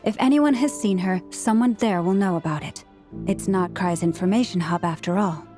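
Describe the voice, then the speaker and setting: A woman voicing a gentle, formal priestess in a video game, speaking in a composed, courteous and measured way. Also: medium pitch, soft background music, lightly acted. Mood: calm.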